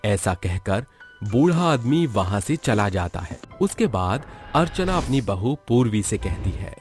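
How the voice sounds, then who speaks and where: A man's voice: fairly low pitch, big pitch swings, measured pace, darkish tone. A man narrating a cartoon moral horror story in a dramatic, scripted storytelling style. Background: background music.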